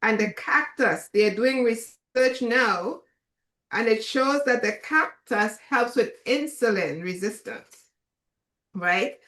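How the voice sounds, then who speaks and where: A Trinidadian woman chef giving a relaxed, chatty cooking demonstration, with many fillers. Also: fairly high pitch, slow, roomy sound.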